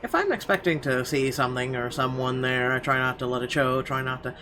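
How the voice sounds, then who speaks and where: A man's voice: mid-range voice, a little fast, bright, forceful tone. Man narrating dramatically over music. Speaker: let's player. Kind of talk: dramatic read-aloud narration of horror visual novel. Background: game music.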